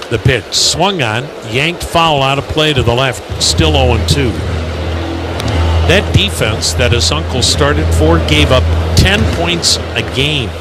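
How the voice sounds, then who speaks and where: An American man calling live baseball on the radio, mixing measured storytelling with clipped pitch calls. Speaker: baseball radio play-by-play announcer. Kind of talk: live commentary with conversational asides. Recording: clearly noisy ballpark broadcast.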